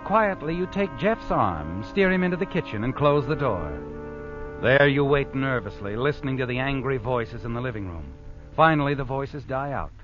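A man's voice: mid-range voice, lively intonation, normal speed, close to an everyday voice. Guy doing dramatic radio mystery narrator, knowing and ominous, swingy delivery, muffled old recording w music behind. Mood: suspenseful. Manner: dramatic, insinuating. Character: ominous knowing narrator addressing the schemer as you.

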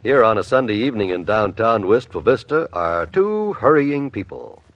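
A man's voice: lowish voice, very animated, normal speed. An American man announcing a show in 1950s radio style. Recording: muffled old radio broadcast. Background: loud music.

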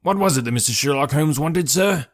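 A man voicing a cranky, lanky old male animal keeper who yells threats and then chats fussily. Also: on the high side, animated, brisk, voice pushed up, close to an everyday voice. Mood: fussy, welcoming. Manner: shouting threats, then fussy and chatty.

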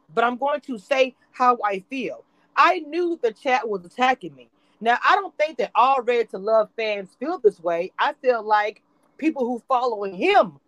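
A woman's voice: on the high side, no room sound, low-quality recording. A woman hosting a live online discussion about a show she reviews. She speaks passionately and emphatically and often repeats words as she pushes her point.

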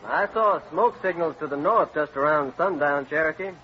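An actor playing a plain-spoken, watchful frontier scout in an old-time radio western. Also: male voice, fairly high pitch, normal speed, slight background noise, close to an everyday voice. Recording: muffled vintage radio recording.

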